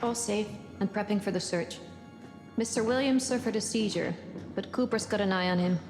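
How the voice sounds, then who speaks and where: An actress playing a serious, composed spaceship officer in a video game, speaking in a measured, formal way. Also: female voice, mid-range voice, lightly acted. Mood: businesslike. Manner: measured, formal, matter-of-fact. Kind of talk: cinematic video game acting. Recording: reverberant room, music underneath.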